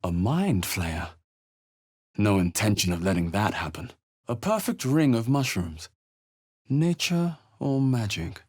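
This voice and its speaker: A fantasy game hero, cool-headed and suspicious. His voice sits fairly low with a rough, breathy husk. He delivers short remarks in a dry, theatrical way. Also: expressive, a little slow, a little mellow. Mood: cool, watchful. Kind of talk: video game voice lines.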